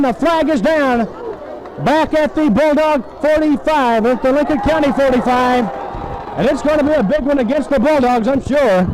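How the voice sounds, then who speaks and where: A man's voice: very high voice, a little hiss or hum, low-quality recording. A man calls live football play-by-play on a 1970s radio broadcast, in clipped phrases full of names and numbers.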